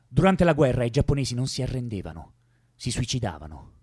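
An actor playing an idealistic, passionate young revolutionary in an earnest, impulsive way for a radio drama. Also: male voice, medium pitch, very animated, fairly quick, a little mellow, breathy edge, no room sound, clean studio sound. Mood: defiant. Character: idealistic, passionate young male revolutionary who loves life. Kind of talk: theatrical radio drama acting.

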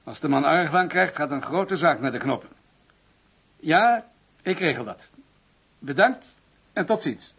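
An actor playing a gruff, wary senior police officer in a Dutch radio play, with a gravelly, husky voice. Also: male voice, on the high side, lively intonation, a little slow, long pauses, a bit bright, exaggerated voice. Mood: brisk, businesslike. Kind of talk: Dutch radio drama dialogue.

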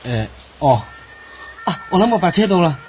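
Supporting role: rattled policeman, Hong Kong Cantonese, raised pitch, animated delivery, noisy radio drama scene. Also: normal speed. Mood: flustered. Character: flustered male police officer at a crime scene. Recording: radio drama with background noise.

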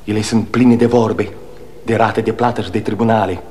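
An actor playing a bold, rich adventurer brother as a remembered apparition in a radio drama, exhorting and declamatory. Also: male voice, on the low side, level tone, dark tone, close to an everyday voice. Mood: grand, urging. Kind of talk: 1960 Romanian radio theatre acting. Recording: faintly noisy old radio recording.

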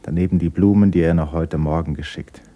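A man narrating a literary radio play in a husky, measured, sombre manner. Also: deep voice, fairly even intonation, highs cut off.

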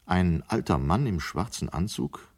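A man's voice: low voice, expressive, unhurried, darkish tone. A man narrating a 1970s German radio drama as a sober storyteller.

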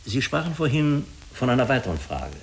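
An actor playing a diplomatic, persuasive official of an international agency in a German radio drama, speaking in a measured manner. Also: male voice, medium pitch, very animated, a little hiss or hum. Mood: earnest, persuasive.

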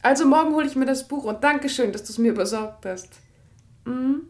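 Radio play: female actor as gracious, eager lady of the house, high-pitched, effusive, conversational. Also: normal speed, close to an everyday voice. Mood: eager, chatty. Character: gracious, chatty married country lady, eager and obliging. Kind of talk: German radio play acting.

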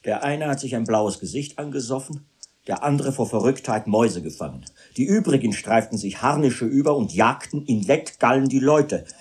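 Husky, impassioned private tutor. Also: male voice, mid-range voice, animated, normal speed, a little mellow. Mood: wry. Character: brooding male private tutor, impassioned storyteller of revolution. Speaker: actor in a radio drama. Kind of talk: literary radio drama acting.

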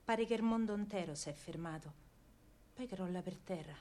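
A woman's voice: fairly low pitch, normal speed, dark tone, lightly acted. An actress playing a feisty working-class woman of wartime Rome, telling her story in an earthy, colloquial Roman accent, as in a radio drama. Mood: hushed, grief-stricken. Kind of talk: dramatic first-person radio monologue in dialect.

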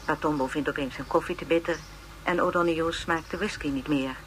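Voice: medium pitch, steady tone, slight background noise, lightly acted. An actor in a radio play playing a pompous, erudite lecturer narrating an educational film in formal phrasing. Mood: pompous, lecturing. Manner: measured phrasing, pompous, donnish.

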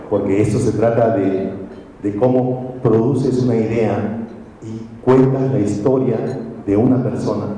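A man's voice: medium pitch, dark tone, slight background noise. A man giving a conference talk to an audience, telling stories in a reflective way and often ending with a questioning "right?". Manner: reflective, storytelling, frequent tag questions. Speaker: journalist and speaker. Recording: echoey hall from far off.

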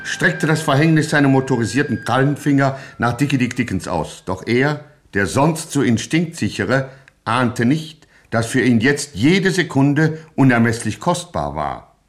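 A man's voice: medium pitch, animated, a little slow. A man narrating a radio play in a melodramatic, mock-epic and suspenseful manner.

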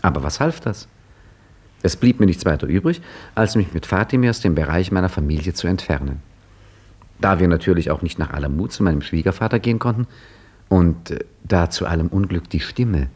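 A man's voice: low-pitched, a little mellow, slightly rough. An actor playing Hakim, an ironic storyteller who also speaks in his own story, delivering dry, theatrical lines in a 1950s radio play. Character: Hakim, wry storyteller looking back on his youth, lively and ironic. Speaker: narrator and protagonist.

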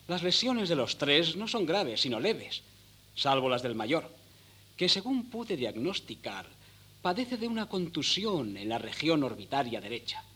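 Pedantic young son, husky and bright. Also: male voice, a little high, heavily acted. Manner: formal, precise, pedantic diction. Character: pedantic, bookish, earnest teenage son on holiday. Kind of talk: 1970s radio theatre acting.

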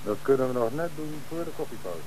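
An actor playing a calm, authoritative police commissioner in an old radio play, on a noisy old radio recording. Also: male voice, mid-range voice, close to an everyday voice. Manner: measured, authoritative. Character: middle-aged male police commissioner, calm and dry. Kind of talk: 1960s radio play acting.

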